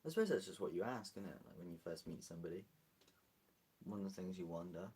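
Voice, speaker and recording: A man's voice: low-pitched, fast talker, a little mellow, slightly rough. A British man streaming live, chatting casually and rambling as he answers viewer comments. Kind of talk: casual livestream chat.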